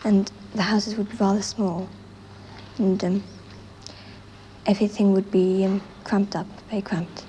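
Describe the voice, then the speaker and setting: A young girl gives a casual interview answer. Her voice is mellow and mid-pitched, with faint noise on the 1960s recording. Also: level tone, unhurried. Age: child or teenager.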